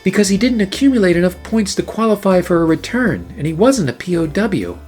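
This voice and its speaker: A man's voice: high-pitched, very animated, fairly quick, darkish tone, soft background music. A man playing a genial army captain in a noir radio play, familiar and matter-of-fact like an old buddy.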